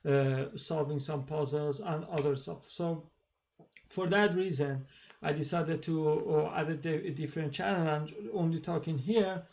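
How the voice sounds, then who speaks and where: A man with a thick Persian accent, a native Persian speaker, hosting his own channel and talking in a halting casual monologue with many fillers and restarts. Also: on the high side, darkish tone, highs cut off. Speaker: channel host.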